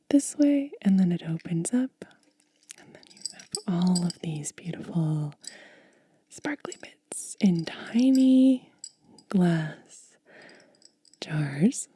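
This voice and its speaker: A woman whispers very softly and breathily, right up close in a dry recording. She takes her time with an ASMR unboxing, stretching words out at an extremely slow pace. Her tone is very mellow and relaxed, with a gentle manner throughout. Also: on the low side, slightly rough, no echo. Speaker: ASMR host. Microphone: close microphone.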